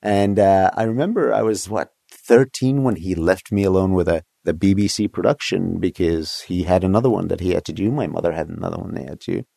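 A man's voice: deep voice, a little fast, creaky, few pauses, warm tone, no echo, clean studio sound. A man from Egypt, a guest in a casual interview, eagerly explaining history and telling stories from his own life, laughing often. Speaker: guest, documentary producer.

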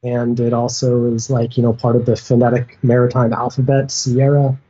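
An easygoing man with a warm, mellow voice and a slightly husky edge, talking as a guest. He comes through a dull, lo-fi remote call and speaks loosely with small hesitations. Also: medium pitch, moderate pace. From United States. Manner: relaxed, easygoing, some hesitations. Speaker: guest, sailor. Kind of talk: casual interview chat. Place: at home.

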